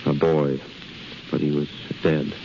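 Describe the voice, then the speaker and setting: An actor playing a grave, resigned doctor in a 1930s radio drama, solemn and terse. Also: male voice, a bass voice, little pitch movement, takes it slowly, exaggerated voice. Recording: muffled 1930s radio, clear crackling hiss.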